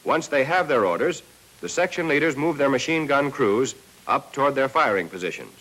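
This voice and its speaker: A man's voice: mid-range voice, animated, moderate pace, fairly bright tone, poor audio. 1940s American military training film narrator, male. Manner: instructional, addressing trainees directly.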